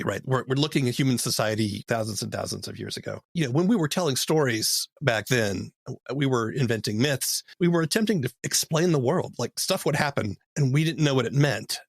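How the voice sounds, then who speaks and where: A man's voice: mid-range voice, gravelly, slightly rough, no room sound, clean studio sound. A man hosting a conversational interview, explaining and commenting as he goes.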